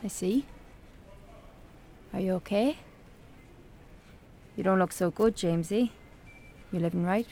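Woman acting a frank, gravelly-edged Irish woman. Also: medium pitch, unhurried, long pauses, dark tone, a little hiss or hum, close to an everyday voice. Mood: gently probing. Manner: blunt, earnest, probing. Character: frank, concerned small-town woman confronting an old friend. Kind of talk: naturalistic radio drama acting.

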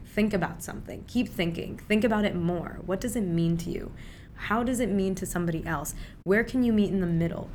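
A woman's voice: fairly low pitch, a little hiss or hum. A young woman from Pakistan whose native tongue is Urdu, a filmmaker guest talking casually and animatedly in an interview, with lots of fillers.

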